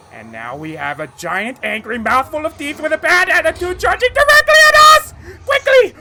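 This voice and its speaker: A woman voicing a bumbling male cartoon villain, a frantic mad scientist with a sharp, strained voice who rambles and exclaims theatrically. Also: very high voice, background noise, heavily acted. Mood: panicked. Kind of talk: cartoon fan tribute monologue.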